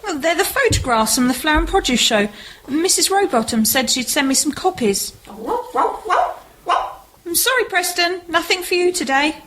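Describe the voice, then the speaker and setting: Woman acting high-pitched radio play heroine. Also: lively intonation, normal speed, fairly bright tone, slight background noise, low-quality recording. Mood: bright, chatty. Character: married village-mystery heroine, gentle, dryly teasing. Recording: amateur community radio recording.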